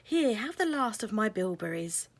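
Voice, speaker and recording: A woman's voice: medium pitch, sing-song, moderate pace. A woman voicing a kind young butterfly fairy in a children's storybook, earnest and pleading. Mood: caring.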